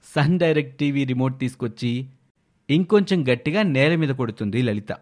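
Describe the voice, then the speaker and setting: A man's voice: medium pitch, expressive, brisk, darkish tone. A male narrator with a mid-range voice reads a scripted moral story for animation. He describes the scenes as a storyteller.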